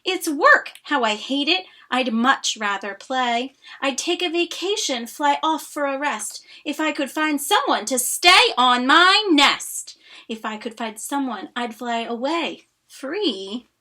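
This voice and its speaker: Woman as a lazy, high-pitched wheedling bird. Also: animated, takes it slowly, bright, forceful tone, full character voice. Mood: bored, complaining. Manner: sing-song, exaggerated pleading and coaxing. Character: lazy, wheedling female bird, bored and self-pitying. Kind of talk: expressive children's storybook read-aloud.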